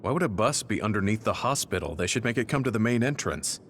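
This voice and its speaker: A man's voice: fairly low pitch, expressive, quick pace, fairly bright tone, slight background noise. A man playing a serious, considerate soldier comrade in a video game cutscene. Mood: concerned.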